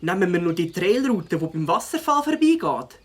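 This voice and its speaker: Lively, sensible young beaver boy. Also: fairly low pitch, moderate pace, husky. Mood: easygoing. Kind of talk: cartoon character acting.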